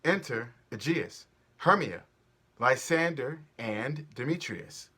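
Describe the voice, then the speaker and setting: A man's voice: medium pitch, lively intonation, slow pace, bright, forceful tone, husky. A man reading Shakespeare aloud as a storyteller, in a dramatic, declamatory staged-reading style. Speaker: storyteller, performer.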